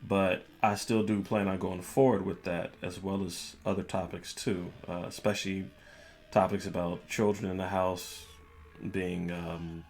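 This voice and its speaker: A man hosting a podcast, telling a personal story in a casual, measured way with music playing behind the voice. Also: low-pitched, level tone, a little mellow. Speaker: podcast host. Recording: music bed under the voice. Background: background music.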